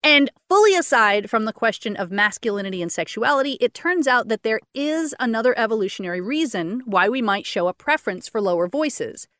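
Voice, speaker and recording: A woman with a fairly low voice for a woman, co-hosting a chatty talk show, lively and often laughing. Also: brisk, few pauses, no echo, studio quality. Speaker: podcast co-host. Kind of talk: casual chat presenting a topic.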